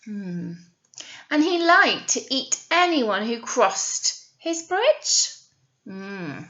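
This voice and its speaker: A woman teacher reading a picture story aloud to young children, drawing out key words and pausing to ask them questions. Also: fairly high pitch, sing-song, sharp tone, muffled recording. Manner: exaggerated, drawn-out words with questions to the listeners. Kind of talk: animated read-aloud storytelling with asides to children.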